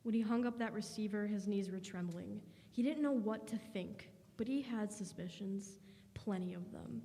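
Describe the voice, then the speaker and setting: A woman's voice: mid-range voice, normal speed, mellow, big-room sound. A woman reading scripted narration for a radio drama. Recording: hollow room, faint background noise.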